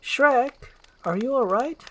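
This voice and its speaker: A woman's voice: high-pitched, lively intonation, slow pace, fairly bright tone, slight background noise, voice pushed up, full character voice. A woman voicing an anguished young princess under a curse, earnest and pleading in a dramatic storytelling roleplay. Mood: worried, hurt.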